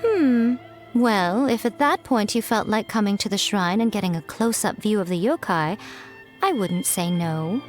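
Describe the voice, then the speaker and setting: A woman acts out a poised shrine priestess from an anime game. She speaks at a medium pitch, measured and unhurried, with a knowing, softly teasing composure. Clear music plays behind her. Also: animated, natural voice. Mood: coy. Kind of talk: scripted anime game voice acting.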